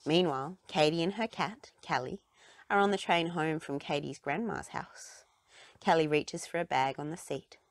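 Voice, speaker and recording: A woman reading a children's storybook aloud with expressive, dramatic phrasing. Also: sits low, moderate pace, dry recording. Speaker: children's book reader.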